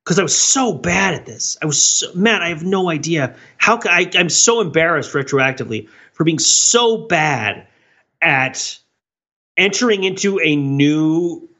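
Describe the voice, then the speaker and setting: A man's voice: fairly high pitch, animated, a little slow, pushed and bright. A man co-hosting a podcast in casual talk, earnest and analytical, correcting himself as he goes, on slightly dull audio. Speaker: podcast co-host. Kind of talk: casual conversational podcast discussion.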